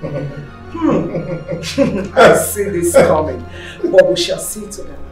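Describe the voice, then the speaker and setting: A woman's voice: on the low side, slow, soft background music. An actress playing a cool, scheming royal mother who taunts her rivals. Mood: taunting. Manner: calm, taunting, proverb-quoting.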